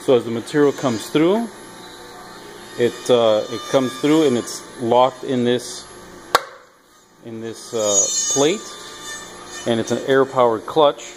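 Middle aged guy showing off a shop machine, casual short phrases, handheld camera audio, noisy workshop, normal pitch. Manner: casual, hands-on demonstrating, halting in short phrases. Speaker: workshop machine builder and video host. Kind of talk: explanatory walkthrough of a machine. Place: large indoor metal workshop.

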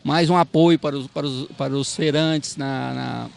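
Gravelly-voiced market vendor man. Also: medium pitch, steady tone, background noise. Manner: halting, repeats himself. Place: outdoor night market. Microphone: handheld mic held by interviewer.

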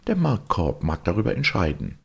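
A man's voice: on the low side, wildly swooping pitch, normal speed, gravelly, breathy edge, exaggerated voice. A man voicing a stuffy, disapproving teakettle in a fairy tale, pompous and indignant. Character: stuffy, disapproving male teakettle, a kitchen singer.

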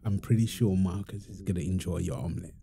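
A man's voice: low-pitched, fast talker, creaky, warm tone. A man playing a playful, doting young father in an ASMR roleplay, teasing and affectionate with his family. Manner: teasing, affectionate, laughing easily. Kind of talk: ASMR roleplay, improvised casual talk.

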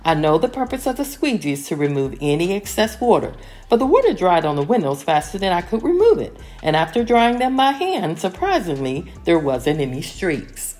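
A middle-aged woman giving a calm, step-by-step voice-over of her home cleaning routine. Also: on the low side, normal speed. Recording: voice-over with soft music behind.

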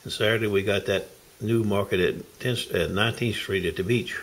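A man's voice: on the low side, steady tone, normal speed. Folksy rambling man. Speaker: knife sharpener vlogger. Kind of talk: casual video diary. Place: in a car and at home. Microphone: handheld camera.

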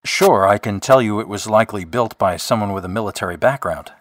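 An actor playing a gruff middle-aged police detective in a radio drama, matter-of-fact and weary. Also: male voice, lowish voice, fairly quick, no room sound, studio quality, lightly acted.

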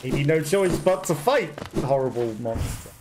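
A middle-aged man giving casual let's play commentary in halting, clipped phrases. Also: fairly high pitch, lively intonation. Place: indoors at home, over gameplay. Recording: noisy home recording with clicks.

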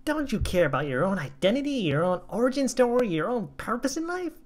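Talking plastic button: high, swooping, incredulous and exasperated, comic storybook figure. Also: male voice, very animated, a little fast. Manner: theatrical, incredulous. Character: stoic talking plastic game-console button, male, exasperated.

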